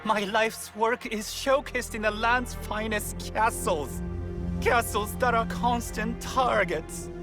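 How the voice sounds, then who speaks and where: High-voiced, anguished master painter. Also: male voice, slow pace, music in the background. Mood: bitter, lamenting. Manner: dramatic, lamenting. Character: proud, anguished master painter of feudal Japan.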